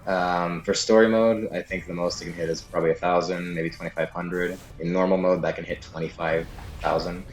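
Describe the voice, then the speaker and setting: Very deep-voiced man explaining a game. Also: steady tone, fairly quick. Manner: casual, explanatory, hedging. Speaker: guide narrator. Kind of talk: video game walkthrough commentary, unscripted. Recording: slightly echoey room, game music underneath. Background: game music.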